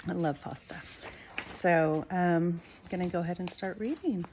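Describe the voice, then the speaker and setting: A woman's voice: lowish voice, slight background noise, poor audio. Woman reading a children's storybook aloud. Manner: expressive, pausing to ask listeners questions.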